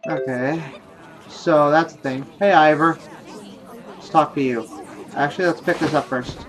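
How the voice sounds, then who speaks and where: A man's voice: a little high, unhurried, a crowd in the background. A man hosting a gaming video, thinking aloud in casual commentary as he plays. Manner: thinks aloud while playing. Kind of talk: casual let's play commentary.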